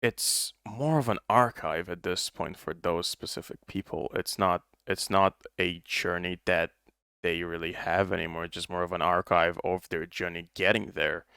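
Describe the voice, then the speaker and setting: A man's voice: deep voice, fairly even intonation, normal speed, gravelly, bright, forceful tone, smooth, dry recording, studio quality. A young man co-hosting a casual podcast, speaking English as a second language, thoughtful and rambling with many restarts. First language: not English. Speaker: podcast co-host. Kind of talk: casual chat.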